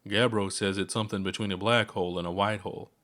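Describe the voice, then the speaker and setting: A man voicing a calm elderly alien scientist in an audiobook, kindly and measured as they explain things. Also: lowish voice, lightly acted. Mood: serious, explaining.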